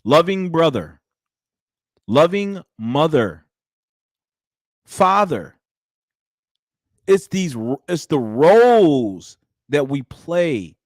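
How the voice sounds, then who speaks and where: A man in his thirties hosting a live stream, commenting and preaching to his chat in an animated way. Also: fairly high pitch, very slow, long pauses, dry recording, studio quality. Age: 30s. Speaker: livestream host. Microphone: studio mic with headphones.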